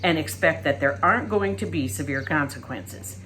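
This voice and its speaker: A woman's voice: low voice, lively intonation, moderate pace, bright, forceful tone, a little hiss or hum. A woman voicing a stern, sensible older great-aunt who talks in a firm, scolding way.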